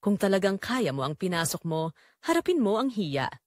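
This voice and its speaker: A woman's voice: mid-range voice, sing-song, normal speed, no room sound, clean studio sound, natural voice. A woman voicing an angry, wounded adult daughter in an audio drama, speaking in a hard, accusing and commanding way. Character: angry wounded adult daughter confronting her father's mistress. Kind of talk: dramatic audio story dialogue.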